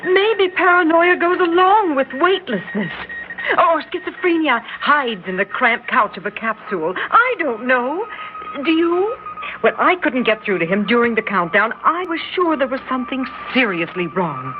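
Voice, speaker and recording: An actress playing a worried, insistent astronaut's wife in an old radio drama, pleading and urgent. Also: female voice, high-pitched, very animated, moderate pace. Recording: dull old radio recording with hiss.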